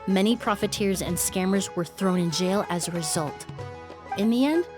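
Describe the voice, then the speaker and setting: A woman voicing a composed young perfumer in a video game, speaking in a measured, explanatory way. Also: mid-range voice, lightly acted. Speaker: perfumer with medical knowledge. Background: faint game music.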